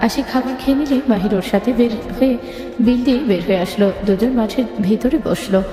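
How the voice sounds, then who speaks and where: A woman's voice: a little high, a little fast. A woman narrating a scripted story in the third person. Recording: echoey long reverb, music bed.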